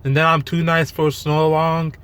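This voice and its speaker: A man with a slightly high voice talks off the cuff to his followers. His delivery is monotone and halting, with thoughts loosely strung together. He is blunt, self-deprecating and casual about swearing. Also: clean tone. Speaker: fitness vlogger. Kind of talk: unscripted rant to followers.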